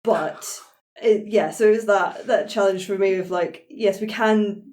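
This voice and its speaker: A woman's voice: mid-range voice, steady tone, a little slow, darkish tone. A woman who plays tabletop games, talking informally in a post-game chat.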